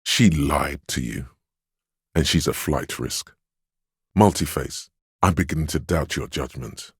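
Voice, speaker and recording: A man voicing a stern, steadfast, protective inner voice of willpower in a video game, speaking in a measured, commanding, admonishing way. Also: dry recording, studio quality, heavily acted. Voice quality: very deep, smooth, resonant. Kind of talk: dramatic second-person game narration.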